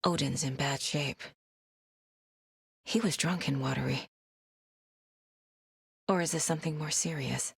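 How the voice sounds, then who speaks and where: An actress playing a determined, wry federal agent investigating supernatural crimes in a video game, measured and matter-of-fact. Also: female voice, sits low, vocal fry, slightly rough, no echo, studio quality, exaggerated voice. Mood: pensive. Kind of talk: scripted game dialogue, investigative monologue.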